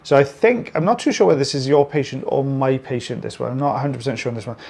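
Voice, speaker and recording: Casual: british guy talking through an ear procedure, relaxed and chatty, average voice. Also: medium pitch, fast, vocal fry. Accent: British English. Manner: relaxed, chatty explaining with fillers. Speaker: ear wax removal clinician. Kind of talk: explaining a procedure on camera.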